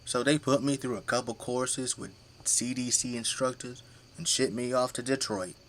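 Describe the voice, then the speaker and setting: A man's voice: medium pitch, fairly even intonation, normal speed, lightly acted. An actor playing a folksy, boastful, tipsy middle-aged father who fights zombies with a flamethrower in an audio drama. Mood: matter-of-fact. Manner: folksy, colloquial, easygoing bragging.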